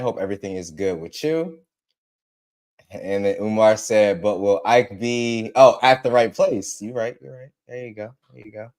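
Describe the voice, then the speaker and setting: A man's voice: fairly low pitch, a little mellow. A man hosting a casual tech livestream, a little congested from allergies, repeating himself and greeting viewers by name. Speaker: livestream host.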